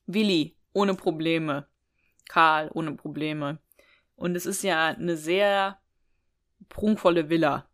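A woman's voice: low voice, slow, pauses often. A woman chatting casually and conversationally as a podcast co-host. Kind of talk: casual chat.

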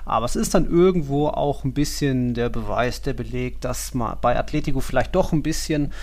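A man co-hosting a football podcast, with a gravelly, fry-laden edge to a middle-pitched voice. He speaks dry and matter-of-fact, laying out his analysis at length. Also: fairly quick, a little mellow. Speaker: podcast co-host. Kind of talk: casual football podcast chat.

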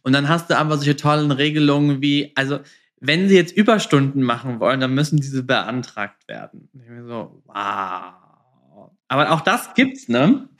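A man's voice: mid-range voice, lively intonation, moderate pace, a bit bright. A man hosting a podcast interview in a casual, informal way, mixing in English start-up jargon.

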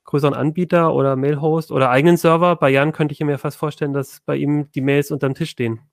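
A southern German man hosting a casual tech podcast, his voice sitting a little high and recorded close and dry in a studio. He talks loosely, with many fillers, restarts and pauses. He moderates the round without hurry. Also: steady tone, no room sound. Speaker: podcast host.

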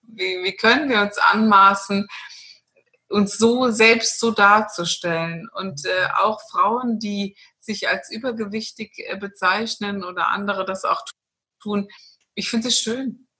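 Bright-voiced German woman, earnest. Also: mid-range voice, fairly even intonation, unhurried. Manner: earnest, many fillers and restarts. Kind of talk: spiritual interview talk.

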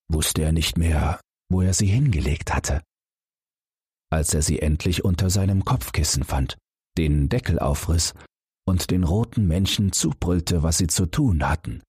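A man narrating a fairy tale at bedtime, in a calm, soothing reading style. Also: a bass voice, slightly rough, no room sound, studio quality. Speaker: audiobook narrator.

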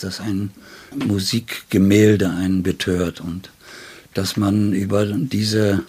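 A man in his seventies with a low, distinctly husky voice talks about literature as a guest. He searches for his phrases with care and lands on ornate, considered words. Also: a little mellow. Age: 70s. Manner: deliberate, halting phrasing with ornate word choices. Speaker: interview guest, poet. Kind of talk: reflective literary conversation.

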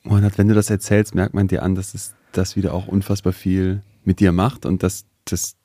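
A man's voice: sits low, little pitch movement, a little fast, dark tone, no room sound. A man hosting an interview, asking earnest, empathetic questions. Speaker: podcast host.

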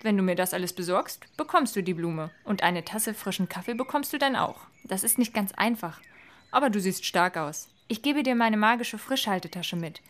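An actress playing a polite but calculating summit fairy in a fairy-tale audio drama, chatty and condescending. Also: female voice, medium pitch, animated, normal speed, natural voice. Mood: brisk, polite.

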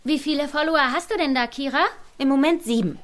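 A woman's voice: high voice, fast talker, close to an everyday voice. A woman voicing a cheerful, chatty young girl blogger in an audio drama clip. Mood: chirpy.

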